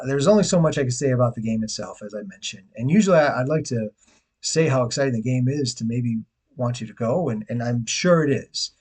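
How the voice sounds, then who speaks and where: A man's voice: mid-range voice, darkish tone, husky. A man in his forties from Detroit, chatting casually to camera as a travel vlogger, rambling with restarts and the odd chuckle. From Detroit, USA. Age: 40s.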